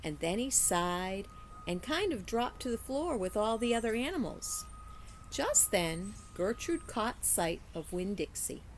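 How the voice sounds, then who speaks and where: A woman narrates a children's story with care and expression. Her pace is slow and her pitch moves widely, with creak in the voice. Faint noise sits in the background. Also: big pitch swings, music in the background, poor audio. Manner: deliberate, careful storytelling for children. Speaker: teacher reading aloud.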